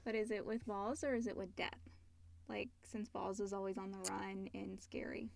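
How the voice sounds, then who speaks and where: A woman's voice: medium pitch, level tone, moderate pace, vocal fry, darkish tone, slight background noise. A woman co-hosting a casual question-and-answer chat, reading out questions and chiming in. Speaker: co-host reading listener questions.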